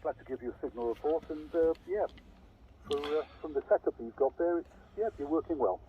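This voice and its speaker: A man's voice: fairly high pitch, a little fast, narrow, tinny audio. A man chatting casually as a radio operator, his voice coming over a CB radio. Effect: heard over CB radio. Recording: distant, noisy CB radio reception.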